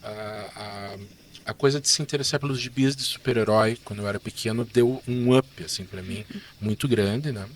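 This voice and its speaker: A man in his fifties with a Curitiba accent, a guest telling stories about his life in a relaxed, chatty way, tagging sentences with "né?". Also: on the low side, normal speed, slight background noise. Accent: Curitiba Portuguese. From Curitiba, Brazil. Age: 50s. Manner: chatty, relaxed, frequent "né?" tags. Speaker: guest artist and illustrator. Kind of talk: casual interview storytelling.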